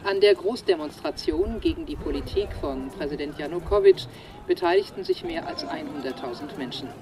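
A man presenting a broadcast news report in a formal, measured delivery. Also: high-pitched, wildly swooping pitch, gravelly.

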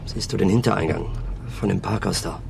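An actor playing a blunt, slangy, streetwise small-time robber in a German radio crime drama. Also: male voice, deep voice, brisk, vocal fry. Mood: scheming. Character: streetwise small-time robber, cautious, touchy, foul-mouthed. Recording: loud car and city street noise.

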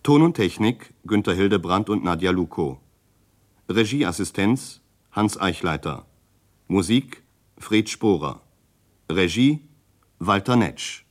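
A male radio announcer with a very deep voice. He reads very slowly and formally, setting each scripted phrase apart in the manner of sixties radio. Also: animated. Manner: measured, separated phrases. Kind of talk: formal scripted credits reading, 1960s radio.

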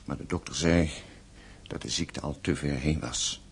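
An actor playing a courteous, solemn French innkeeper in a radio play, polite and hesitant, with a husky voice. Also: male voice, rumbling low, normal speed, a little hiss or hum. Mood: grave, reluctant. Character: courteous, grave French innkeeper breaking bad news.

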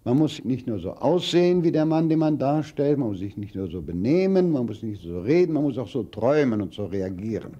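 An actor playing a self-assured former spy giving a radio interview. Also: male voice, medium pitch, animated, a little fast, hardly any pauses, warm tone, natural voice. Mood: confident, emphatic. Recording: dull, muffled archive-style interview sound.

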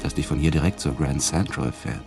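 Low voiced tycoon guy in a radio play, quick talker, playful and slippery, music behind. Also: vocal fry, a little mellow. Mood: casual, persuasive. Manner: casual, teasing, evasive. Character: smooth, evasive male business tycoon, affable, playfully charming. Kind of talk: radio drama acting.

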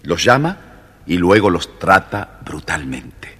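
A man with a deep voice narrates a fairy tale expressively, his pitch moving widely. The old recording has long reverb and faint noise. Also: slow, slightly rough. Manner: expressive storyteller. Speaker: storybook narrator.

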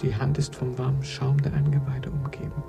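An actor playing an anguished, tender man trapped in a dark crypt, in a theatrical and halting German radio drama style. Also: medium pitch, level tone, gravelly, music in the background. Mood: hushed horror. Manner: theatrical, intense, halting delivery.

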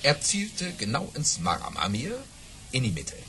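Boastful old-story baron, super swoopy delivery, bright forceful voice, tall tales w dramatic emphasis, bit of noise behind. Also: male voice, medium pitch, huge pitch swings, a little slow, soft background music, full character voice. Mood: dramatic relish. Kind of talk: German audio drama storytelling.

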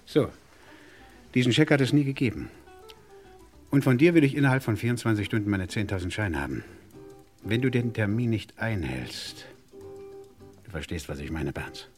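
An actor playing a menacing gangster boss in a German crime radio play, mock-friendly, condescending and threatening. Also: male voice, lowish voice, expressive, normal speed, long pauses, darkish tone, soft background music, close to an everyday voice. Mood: threatening, contemptuous. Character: menacing, sneering gangster boss owed money.

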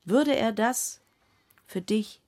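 An actress playing an insistent, earnest wife in a radio play, husky and creaky, coaxing and directing with emphasis. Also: female voice, a little high, expressive, slow pace, a little mellow.

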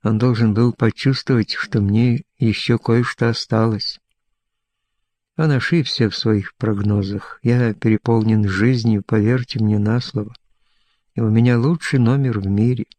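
An aging, lonely showman played by a male audiobook reader rambles with boastful self-assurance. He speaks slowly in short, insistent phrases, and the sound is close and dry. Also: on the low side, fairly even intonation, a little mellow, no room sound, natural voice. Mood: boastful, defiant. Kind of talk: audiobook character dialogue.

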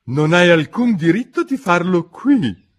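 A man's voice: high-pitched, sing-song, unhurried, voice pushed up, heavily acted. A man voicing an indignant, whiny little dormouse in a storybook reading.

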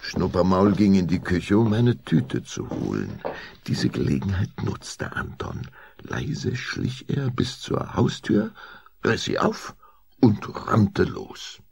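A man narrating an audio play as a scripted story, with deliberate, suspenseful delivery. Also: low-pitched, wildly swooping pitch, gravelly, noisy background.

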